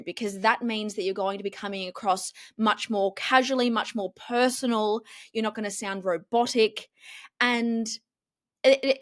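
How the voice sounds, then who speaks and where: A woman, a TV journalist and confidence coach, talking in a casual interview with an expressive, strong reporter-style delivery. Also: fairly high pitch, no echo, clean studio sound.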